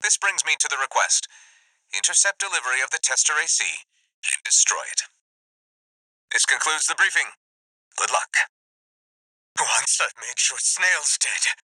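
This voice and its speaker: A man voicing a polite but scheming, ambitious corporate military officer in a video game, speaking with formal, crisp diction. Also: fairly high pitch, lively intonation, normal speed, long pauses, pushed and bright, no room sound, heavily acted, poor audio. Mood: smug, scheming. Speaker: military liaison giving mission briefings.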